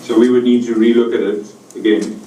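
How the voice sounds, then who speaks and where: A man, an auditor on a remote call into a council meeting, hesitant and halting. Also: mid-range voice, little pitch movement, dark tone, roomy sound, far from the mic, a little hiss or hum, rough recording. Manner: hesitant, many fillers and restarts. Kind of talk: meeting discussion over a call.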